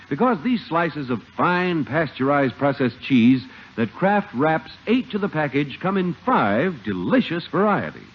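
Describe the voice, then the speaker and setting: Vintage American radio announcer, male, scripted sponsor reads, animated pitch, muffled period sound. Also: husky. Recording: muffled 1950 radio recording.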